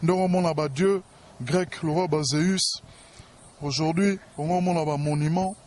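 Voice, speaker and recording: A man's voice: fairly high pitch, steady tone, unhurried, darkish tone, a little hiss or hum. A man with a Congolese accent speaking in a pompous, boastful, self-important way in a talk-show interview.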